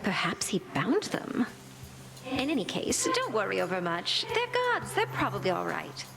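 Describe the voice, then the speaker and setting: Lilting goddess of love. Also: female voice, mid-range voice, huge pitch swings, normal speed, gravelly, low-quality recording. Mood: playful. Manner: lilting, playful, affectionate. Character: flirtatious goddess of love, breezy and fond. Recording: game dialogue over loud music.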